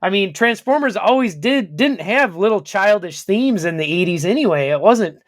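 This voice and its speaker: A man with a notably high speaking voice, chatting casually as a co-host. He is blunt and profane, and he laughs often between points. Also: expressive, moderate pace. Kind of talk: casual podcast banter.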